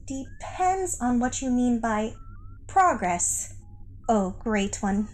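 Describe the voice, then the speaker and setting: A woman playing a deferential, wry alien underling giving measured reports in a sci-fi satire audio play. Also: on the high side, big pitch swings.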